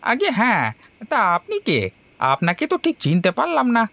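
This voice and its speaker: An actor voicing a friendly older village eatery keeper in an animated story, inquisitive and familiar in manner. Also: male voice, very high voice, moderate pace, vocal fry, no room sound, heavily acted. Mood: friendly, curious. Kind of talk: animated horror story dialogue.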